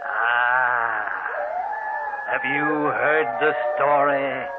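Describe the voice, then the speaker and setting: An actor voicing a sinister, gleefully macabre old hermit in a wheezy, theatrical old-time radio horror style. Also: male voice, medium pitch, steady tone. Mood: eerie, gloating. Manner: slow, drawn-out, theatrical eerie delivery. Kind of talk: 1940s radio horror acting. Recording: very noisy tinny old radio recording.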